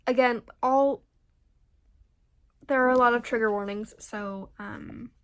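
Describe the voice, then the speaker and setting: A woman chatting casually about books in a vlog, halting as she thinks aloud. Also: a little high, fairly even intonation, a bit bright. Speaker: book reviewer and vlogger.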